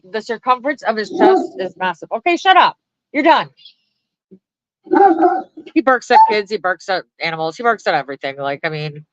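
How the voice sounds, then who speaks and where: A woman's voice: a little high, fairly bright tone, no room sound, dull audio. A woman on a phone live stream, ranting and chatting with viewers in blunt, heavily profane casual talk, often laughing. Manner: blunt, swears constantly, laughs often, talks to chat and dog. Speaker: livestreamer. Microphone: phone held close.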